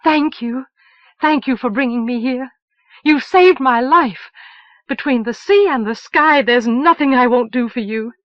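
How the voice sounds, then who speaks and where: A woman voicing a desperate young woman, loud and high. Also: a little slow, no echo, lo-fi sound. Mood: overjoyed.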